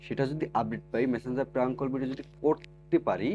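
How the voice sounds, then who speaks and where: A man's voice: mid-range voice, quick pace, warm tone, muffled recording, faint music behind. A man narrates a how-to video for viewers as its host. His delivery is instructional and scripted, built on repeated step-by-step phrasing. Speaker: tech tutorial video host.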